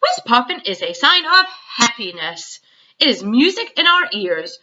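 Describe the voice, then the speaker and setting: A woman voicing a big, kindly, excitable old male giant from a storybook, with mangled grammar and nonsense words. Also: a little high, unhurried, highs cut off, pitched above the performer's own voice, heavily acted. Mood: gleeful.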